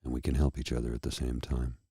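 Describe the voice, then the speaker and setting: A man with a very deep, dark, husky voice speaks slowly and earnestly, a tired frog in his throat. The sound is dry and close, with no room around it. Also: flat delivery, no echo. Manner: slow, earnest, heartfelt. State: tired and a little froggy-throated. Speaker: host. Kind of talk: candid personal talk to viewers.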